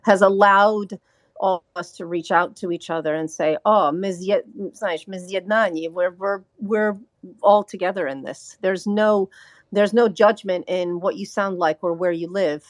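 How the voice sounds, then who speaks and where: An American woman who grew up speaking Ukrainian, a podcast guest talking in an earnest, halting way with frequent restarts. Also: mid-range voice, steady tone, no echo. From United States. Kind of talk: reflective podcast talk.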